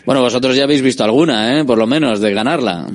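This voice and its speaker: A man's voice: medium pitch, fast talker. A man hosting a radio sports show, interviewing guests in a friendly, chatty way.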